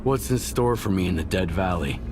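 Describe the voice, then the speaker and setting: An actor playing a terse, hardened mercenary with blunt, brief delivery in a video game cutscene. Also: male voice, low voice, lively intonation, fairly quick, noisy background. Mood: guarded, questioning. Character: terse, questioning male zone stalker, hardened mercenary.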